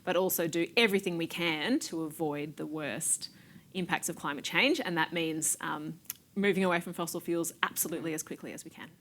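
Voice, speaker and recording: A middle-aged woman, explaining weather history on a panel in a library, lively and gesturing, on a lapel mic. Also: lowish voice, a little fast. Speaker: climate scientist panelist. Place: library set with bookshelves, indoors.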